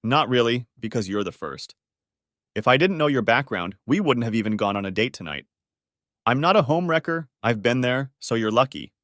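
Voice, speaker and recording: A man's voice: mid-range voice, animated, moderate pace, fairly bright tone, no room sound, studio quality, natural voice. A man voicing a friendly, forward divorced woman of forty-five in a narrated story. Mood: warm, firm.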